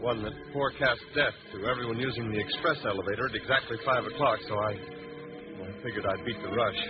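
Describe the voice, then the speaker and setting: An actor playing a cautious, sheepish office worker in a 1940s radio drama. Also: male voice, mid-range voice, fast, close to an everyday voice. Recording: tinny old radio, loud music.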